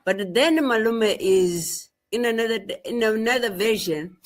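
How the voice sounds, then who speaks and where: A middle-aged woman from rural Zimbabwe, a guest telling her story in a slow, measured way and often repeating phrases. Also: on the high side. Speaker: guest activist. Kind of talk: interview storytelling.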